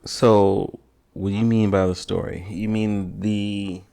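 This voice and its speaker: Young male co-host, low-leaning voice, playful and animated retelling. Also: takes it slowly, creaky. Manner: animated, joking, retells plots in a rush. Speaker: podcast co-host. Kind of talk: casual chat about games and movies.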